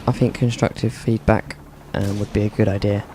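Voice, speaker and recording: Male game commentator, dry monotone delivery, low voice, gunfire behind. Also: moderate pace, slight background noise. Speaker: gameplay commentator.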